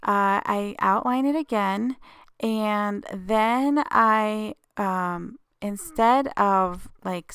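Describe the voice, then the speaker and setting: A woman's voice: mid-range voice, fairly bright tone, clean tone, no room sound, clean studio sound. A woman with a slightly scratchy voice hosts a casual craft tutorial voice-over, explaining each step informally.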